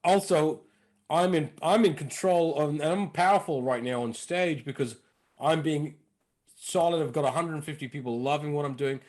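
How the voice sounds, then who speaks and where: A male comedian telling stories in a casual interview, lively, acting out the other people's lines. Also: on the high side, moderate pace. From lives in North London. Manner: animated, acts out dialogue in put-on voices. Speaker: comedian and impressionist, guest.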